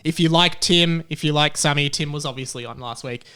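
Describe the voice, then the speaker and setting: A man's voice: a little high, a little fast, sharp tone, clear, resonant tone. A man hosting a podcast in casual, comedic chat, informal and chummy, often laughing. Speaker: podcast host.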